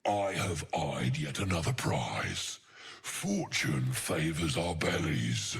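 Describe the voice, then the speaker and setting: An actor voicing a huge, gluttonous, greedy ogre mercenary in a video game, eloquent and pompous, savoring florid words. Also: male voice, a bass voice, big pitch swings, slow pace, vocal fry, a bit bright, slightly rough, full character voice. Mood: haughty, pleased. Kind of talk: video game character acting.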